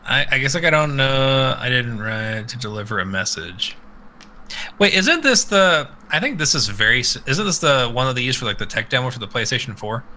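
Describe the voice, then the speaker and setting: Bright, animated man chatting on a podcast. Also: mid-range voice, moderate pace, a little hiss or hum. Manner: animated, sweary, rambling. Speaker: podcast host. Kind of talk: casual opinionated live chat about games.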